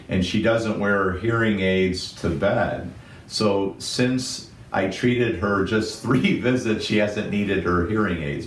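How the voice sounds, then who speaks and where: A male doctor with a booming voice, calmly explaining a medical condition in an unscripted talk. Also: recorded from a distance, slight background noise. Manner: steady, methodical explaining in medical terms. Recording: roomy echo, somewhat off-mic, faint ambience.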